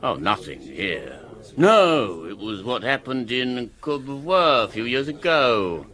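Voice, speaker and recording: An actor playing a gossipy, sardonic village innkeeper in a radio drama, with a dry, knowing, teasing manner. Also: male voice, medium pitch, big pitch swings, takes it slowly, bright, forceful tone, full character voice.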